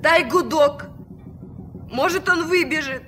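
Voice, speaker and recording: An actress playing an anxious, impatient young granddaughter on a riverboat in a Soviet radio play. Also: female voice, sits high, slow. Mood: urgent, pleading. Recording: noisy old mono radio play recording.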